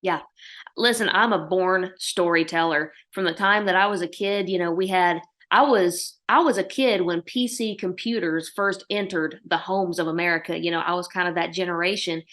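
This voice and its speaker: A woman in her thirties from Oklahoma with an Oklahoma accent, a country musician answering interview questions in a lively, folksy storytelling way. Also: on the low side, normal speed.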